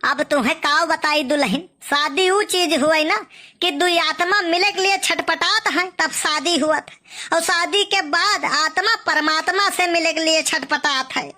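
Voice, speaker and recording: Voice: very high-pitched, unhurried, sharp tone, full character voice. A performer playing a wily, wheedling old male beggar in a village cartoon comedy, boastful, with an Awadhi accent. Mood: indignant, sly. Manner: sing-song blessings, wheedling, boastful.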